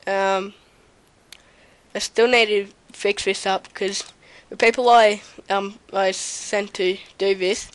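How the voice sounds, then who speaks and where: A young woman with a slight cold, slowly touring her game server with many pauses and fillers. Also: medium pitch, steady tone, no room sound. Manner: hesitant, many ums, slow and rambling. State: slight cold, runny nose and cough. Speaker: server owner giving a tour. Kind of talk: casual gameplay commentary. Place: home room at a computer. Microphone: desk mic near the keyboard, typing audible.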